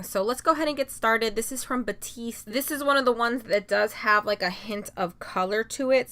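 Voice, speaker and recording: A woman's voice: medium pitch, pushed and bright, clear, resonant tone. A young adult woman vlogger giving a casual, chatty product review, filling her talk with "like" and "um". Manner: chatty, many "like" and "um" fillers. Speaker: beauty vlogger.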